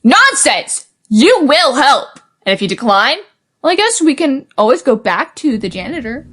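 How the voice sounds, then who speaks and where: Audio drama casting: woman to play cocky pegasus commander, highish voice, animated, impatient and bossy. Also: normal speed, exaggerated voice. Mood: commanding. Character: brash, bossy, proud pegasus military commander.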